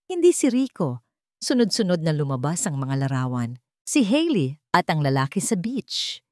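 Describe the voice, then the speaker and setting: Filipino lady reading a drama story, lowish voice, moves around a lot, really dry sound. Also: very animated, normal speed, darkish tone, dry recording. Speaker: narrator.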